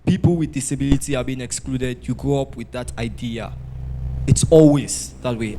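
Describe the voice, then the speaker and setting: Male conference participant, Sierra Leonean accent, mid-pitched, reverberant hall. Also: a little mellow. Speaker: audience participant, former consultant. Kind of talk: sharing experience at a conference. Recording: echoey hall, faint noise.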